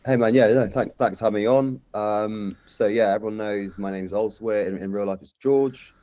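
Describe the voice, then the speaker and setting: A man from London in his thirties speaks with a low, mellow voice and a London accent. The sound is close and dry. He chats casually as a guest on a podcast. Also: fairly even intonation, normal speed, no room sound. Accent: London English. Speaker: podcast guest, social media and community manager. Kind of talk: casual chat.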